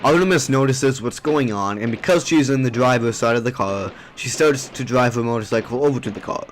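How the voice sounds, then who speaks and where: A man with a medium-pitched voice serves as narrator. He reads scripted audio drama action and scene descriptions. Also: fairly quick, a little hiss or hum.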